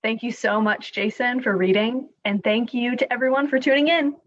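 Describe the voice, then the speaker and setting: A woman with a fairly high voice introduces a children's story time as its host. She speaks straight to young viewers in a presenter's manner. The audio is slightly dull and lo-fi. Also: steady tone, moderate pace. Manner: addresses young viewers with questions.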